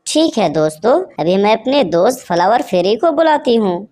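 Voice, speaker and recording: Woman voicing a friendly, animated butterfly. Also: on the high side, normal speed. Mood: helpful, calling. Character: friendly female butterfly helping her bird friends. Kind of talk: animated children's story voice acting.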